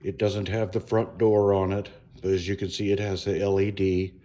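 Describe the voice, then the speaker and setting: A man giving a casual, unscripted hands-on walkthrough at home, speaking haltingly with frequent pauses mid-sentence. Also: deep voice, level tone, mellow. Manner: halting, hesitant, pausing often mid-sentence. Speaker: home DIY reviewer. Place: indoors at home.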